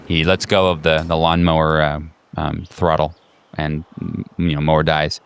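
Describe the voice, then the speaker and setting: A man working as game master, improvising narration for a tabletop game in a halting, conversational way. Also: a bass voice, little pitch movement, gravelly, clean tone. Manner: halting, conversational, searching for words.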